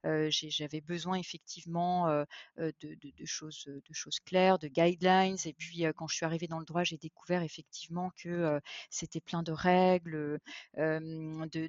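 A woman's voice: on the low side, no echo, lo-fi sound. A woman in her forties from eastern France, a podcast guest telling her career story with many fillers and restarts. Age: 40s. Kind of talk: interview conversation.

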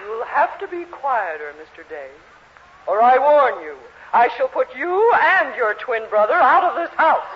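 A woman's voice: sits high, big pitch swings, unhurried. A woman playing an imperious, tipsy married matron in a 1940s radio sitcom, haughty, bossy and full of exclamations. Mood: indignant threat. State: acting drunk, groggy. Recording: muffled, noisy 1940s radio recording.

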